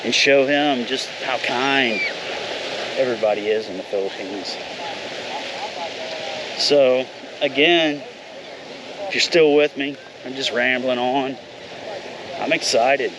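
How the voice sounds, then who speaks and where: A man's voice: medium pitch, takes it slowly, long pauses, noisy background. Rambling fifty-something man vlogging. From United States. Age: 50s. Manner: candid, rambling, mild swearing. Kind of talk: casual rambling monologue.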